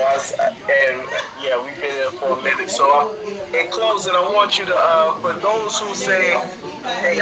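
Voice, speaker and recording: A man interviewing casually, asking loose, rambling questions. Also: a little high. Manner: loose, rambling, thinks aloud. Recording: muffled recording with crowd behind. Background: crowd chatter.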